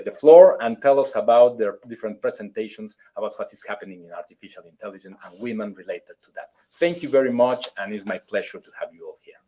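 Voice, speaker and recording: A man, a consulate official, giving formal opening remarks at a webinar over a video call, halting and full of fillers. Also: on the low side, warm tone. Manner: halting, many fillers and restarts, formal phrasing.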